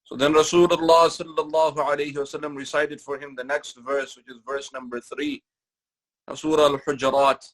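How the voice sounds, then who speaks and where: A man giving a Ramadan lecture in a mosque, speaking in measured, repeated phrases and reciting Quranic Arabic between his English explanations. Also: fairly high pitch, flat delivery, poor audio. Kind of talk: sermon-style religious lecture.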